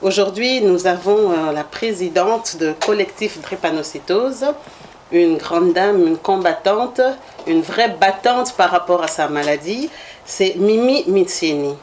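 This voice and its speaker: Woman, interview host, friendly, asks questions, lowish voice, faint noise. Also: measured pace, a little mellow. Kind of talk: web TV interview.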